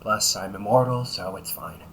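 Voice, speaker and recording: A man's voice: fairly low pitch, moderate pace, a bit bright, slight background noise, close to an everyday voice. A man playing a cocky, weary, centuries-old but young-sounding vampire brother in a conversational audio roleplay, grumbling with dry sarcasm. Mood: sarcastic, tired. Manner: sarcastic, grumbling, often chuckling. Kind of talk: audio roleplay, conversational one-sided dialogue.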